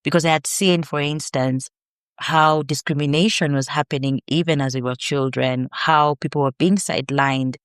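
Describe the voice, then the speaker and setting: Kenyan woman, smooth clear voice, dry close sound, earnest measured storytelling. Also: sits low, steady tone, dry recording. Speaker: podcast guest, researcher. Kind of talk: reflective interview answers.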